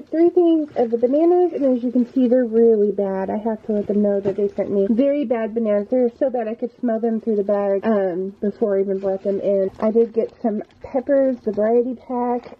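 High, soft husky American woman vlogger. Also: highs cut off. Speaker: vlogger showing a grocery haul. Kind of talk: casual chat to viewers. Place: indoors at home, kitchen.

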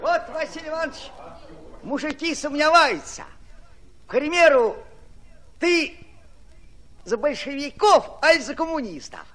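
A doubtful peasant in a radio play. The voice is very high and moves up and down a lot. His talk is rustic and halting, that of an aggrieved villager. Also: animated, fairly bright tone, exaggerated voice. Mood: puzzled, probing. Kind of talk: radio drama acting.

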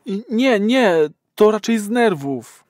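A man's voice: high-pitched, lively intonation, slow, no echo, studio quality. An actor playing a nervous, awkward first-time male patient in an audio drama, hesitant and sheepish. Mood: embarrassed, hesitant.

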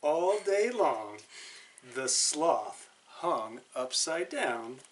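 Very slow, animated male storyteller. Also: on the high side, slight background noise. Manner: deliberate, drawn-out, expressive delivery. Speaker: storytime guest reader. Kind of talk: animated children's picture book read-aloud. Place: living room by a brick fireplace.

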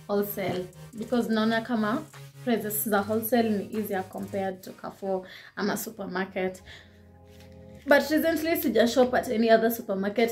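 A young woman with a Kenyan accent talks easily through a haul of household shopping, seated in her living room and facing the camera. Her voice sits at a middle pitch with a conversational lilt. Soft music plays faintly underneath. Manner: chatty, code-switching, shows items to camera. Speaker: vlogger. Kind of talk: casual shopping haul chat.

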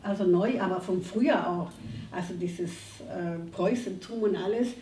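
A woman's voice: medium pitch, moderate pace, warm tone, a little hiss or hum. Woman moderator, echoey room. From abroad, living in Germany 35 years. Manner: hesitant, many fillers, often says "eben halt". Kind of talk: panel discussion at a public reading. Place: bright community room, indoors. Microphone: distant camera mic. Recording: roomy echo, far from the mic.

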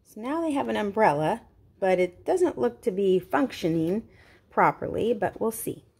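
A woman's voice: mid-range voice, animated, normal speed, vocal fry, a little mellow, slightly rough. A woman reading a picture book aloud to young children, expressively, with simple explanatory asides. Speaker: children's read-aloud host.

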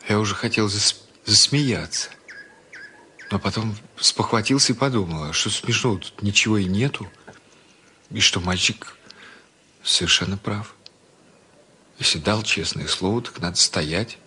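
A man playing a kind, concerned young man, narrating a children's story in an expressive, conversational way. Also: lowish voice, a little slow, pauses often, slightly rough, full character voice. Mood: thoughtful, concerned. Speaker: narrator and lead actor.

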